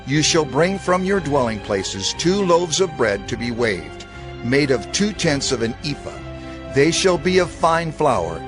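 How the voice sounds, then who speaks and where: A man narrates scripture in a deliberate, declamatory style. His mid-pitched voice moves through lively inflections, solemn throughout, with music clearly playing behind him. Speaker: scripture reader.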